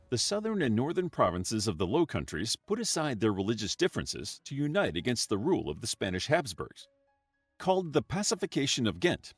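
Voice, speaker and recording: Male documentary narrator with a slightly husky voice and an animated delivery, over faint background music. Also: mid-range voice, dry recording. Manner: even, measured reading. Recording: narration from a played video with music under it.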